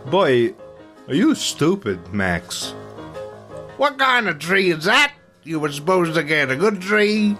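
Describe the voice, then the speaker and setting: A man's voice: a little high, fairly bright tone. A man playing a fussy, scornful sidekick in a scripted holiday parody, with music behind him.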